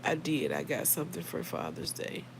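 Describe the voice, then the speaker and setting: A middle-aged American woman, a little hoarse, chatting casually and earnestly on a livestream and speaking straight to her listeners. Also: low voice, sing-song, moderate pace, background noise. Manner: conversational, earnest, addresses listeners directly. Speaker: livestream host. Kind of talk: casual live chat reading viewer comments.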